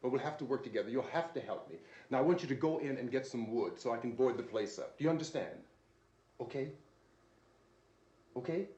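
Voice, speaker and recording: Actor as urgent, fast-talking man under siege, echoey. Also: mid-range voice, expressive, dark tone, lo-fi sound, close to an everyday voice. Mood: insistent, reassuring. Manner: commanding, insistent. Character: resolute, urgent young man besieged in a farmhouse. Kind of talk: 1960s horror film acting. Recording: echoey, noisy 1960s film soundtrack.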